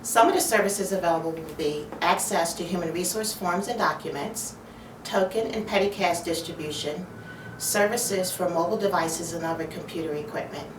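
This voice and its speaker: A woman from human resources giving a clear, orderly, businesslike statement in an interview. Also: lowish voice, far from the mic. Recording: roomy, off-mic, noisy background.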